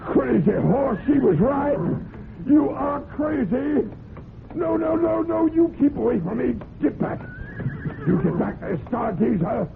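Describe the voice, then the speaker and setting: A muffled, noisy vintage radio recording of a horseman in danger. His pitch is pushed very high and the voice cracks with gravel, delivered with urgent, intense radio-drama acting. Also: exaggerated voice. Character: cocky horseman, a man thrown into danger.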